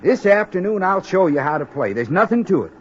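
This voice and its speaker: An actor playing a friendly American gold prospector in a 1950s radio western, with a folksy, rustic frontier drawl. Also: male voice, high-pitched, sing-song, fairly quick. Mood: friendly, eager. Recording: dull, noisy old radio recording.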